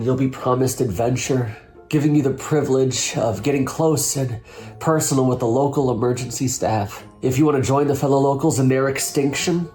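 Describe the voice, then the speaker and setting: A man giving a theatrical, measured dramatic reading of a written review. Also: medium pitch, warm tone. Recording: faint music under the reading.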